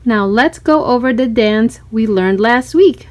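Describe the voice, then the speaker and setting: Lady doing a ballet teacher for a kids book, brisk n encouraging, high pitched, calls out steps. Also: close to an everyday voice. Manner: crisp instructing, calling out. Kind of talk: children's storybook read-aloud.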